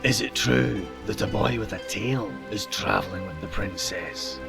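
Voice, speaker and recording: A man voicing a gruff, terse, menacing bounty hunter with a gravelly voice in a fan dub. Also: fairly low pitch, moderate pace, over music. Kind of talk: anime-style game fan dub acting.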